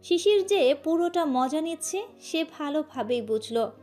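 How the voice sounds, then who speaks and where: A woman's voice: high voice, expressive, measured pace, a little mellow, faint music behind. A woman reading a scripted romantic story as its narrator.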